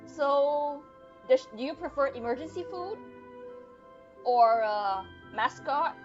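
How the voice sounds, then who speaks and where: Young woman, game streamer, casual joking commentary, somewhat dull lo-fi mic, soft music underneath. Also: high voice, lively intonation, slow. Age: young adult.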